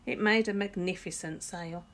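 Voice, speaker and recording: A woman narrating a picture book for young children in an expressive teacher's manner. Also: lowish voice, moderate pace. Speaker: primary school teacher.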